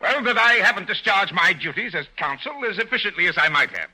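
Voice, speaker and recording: A prim, formal defense attorney, somewhat high-pitched with a crisp, forceful edge. He sounds stiff and defensive in classic radio drama style. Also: expressive, fairly quick, full character voice, rough recording.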